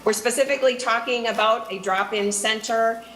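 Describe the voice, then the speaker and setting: A woman's voice: on the high side, steady tone, moderate pace, fairly bright tone, echoey room, low-quality recording. A woman giving prepared testimony for her youth center at a legislative hearing, earnest and fluent with the odd filler. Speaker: nonprofit director testifying.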